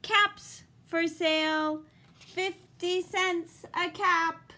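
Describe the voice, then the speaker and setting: A woman voicing a male storybook cap peddler who calls out and scolds in drawn-out, emphatic phrases. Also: very high-pitched, fairly even intonation, bright, forceful tone, voice pushed up, heavily acted. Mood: calling out. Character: male cap peddler calling wares, growing angry at monkeys.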